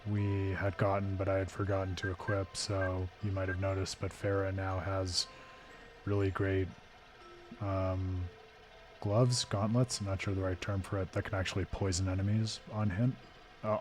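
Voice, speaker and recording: Hoarse guy streaming a game, lowish voice, rambly and thoughtful, faint music under him. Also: a little mellow. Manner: thoughtful, digressive, with frequent restarts. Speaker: streamer and host. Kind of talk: live game-stream commentary and analysis.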